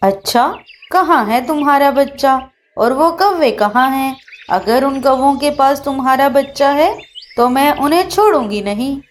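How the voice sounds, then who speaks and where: A woman's voice: high-pitched, lively intonation, measured pace, a little hiss or hum. A woman voicing a stern, protective mother eagle with a commanding manner in a children's cartoon. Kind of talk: Hindi children's cartoon dialogue.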